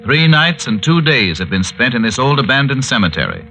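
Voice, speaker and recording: A man's voice: medium pitch, very animated, brisk, bright, forceful tone. A man with an American accent performing as a theatrical, ominous announcer-narrator of a 1940s radio adventure serial. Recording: dull vintage radio, music behind.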